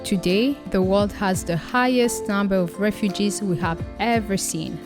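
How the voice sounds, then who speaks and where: A woman reading scripted promotional narration for a charity in a slow, solemn, deliberate way. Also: medium pitch, music in the background.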